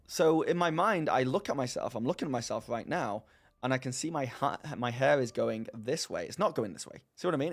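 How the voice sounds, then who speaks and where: A man casually chatting on his live stream and answering viewers, rambling and self-deprecating, laughing often. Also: medium pitch. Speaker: streamer hosting a live chat.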